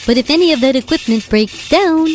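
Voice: high voice, lively intonation, a little fast, faint music behind. A performer playing a cheerful, jokey handyman in a children's show. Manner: bouncy, jokey.

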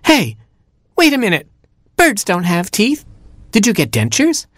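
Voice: medium pitch, huge pitch swings, unhurried, a bit bright, no echo, clean studio sound, voice pushed up, exaggerated voice. A performer voicing a naive, curious little boy robin in a children's storybook, with childlike, wide-eyed wonder. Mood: amazed, alarmed.

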